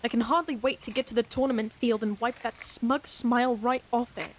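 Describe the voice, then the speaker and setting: A woman acting as a high-voiced lady knight in a fantasy audio drama, proud and easily indignant. She speaks in formal, haughty phrases with a cutting edge. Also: steady tone, fairly quick, natural voice. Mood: disgusted.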